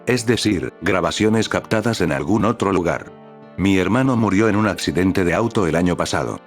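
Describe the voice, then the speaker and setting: Deep-voiced man narrating a creepy tale. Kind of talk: scripted narration of a creepy story.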